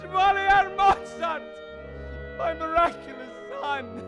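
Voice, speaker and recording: A man's voice: very high voice, slow, muffled recording, over music. A teenage male stage actor playing a frightened, dying young composer who stammers, halts and breaks into nervous laughter. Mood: wistful anguish. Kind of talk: theatrical stage acting. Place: theatre stage.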